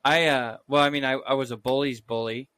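Casting note: bright, forceful male co-host, crude and swearing, loose storyteller. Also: mid-range voice, steady tone, a little fast, clean tone, dry recording, studio quality. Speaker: podcast co-host. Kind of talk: casual chat.

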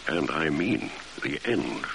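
An actor playing a stern, urgent naval base commander giving clipped orders in 1940s radio drama style. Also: male voice, extremely low pitch, very animated, takes it slowly, vocal fry, full character voice. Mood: urgent, grave. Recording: hissy vintage radio recording.

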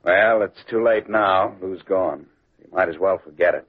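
An actor playing a cold, ruthless, domineering gangster boss in a 1940s radio drama. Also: male voice, low-pitched, normal speed. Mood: impatient. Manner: callous, domineering. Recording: tinny old radio recording.

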